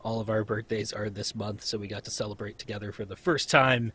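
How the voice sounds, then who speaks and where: A man's voice: on the low side, fairly even intonation, fairly quick, a bit bright, slight background noise. A man chatting casually and in an easygoing, upbeat way from a home basement recording setup. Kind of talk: casual chat.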